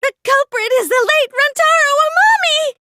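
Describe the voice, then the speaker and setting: A woman's voice: very high-pitched, lively intonation, moderate pace, background noise. A woman voicing a plain, meek, nerdy teenage girl in an anime mystery video game, speaking in a mild, hesitant, questioning way. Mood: dramatic. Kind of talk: anime video game English dub acting.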